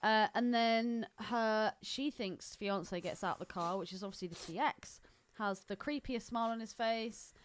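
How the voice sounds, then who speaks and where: A woman's voice: a little high, unhurried, hardly any pauses, clean tone. A woman co-hosting a podcast, casually recapping a film and critiquing it with blunt opinions. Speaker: podcast co-host.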